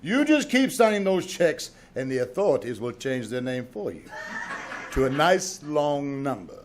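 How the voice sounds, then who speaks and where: A man's voice: on the high side, big pitch swings, moderate pace, a little hiss or hum. Man playing a pompous, swooping theatrical husband. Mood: mock-kindly preaching. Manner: melodramatic, grandiose. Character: pompous, theatrical husband, a hammy radio star. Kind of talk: old-time radio comedy acting.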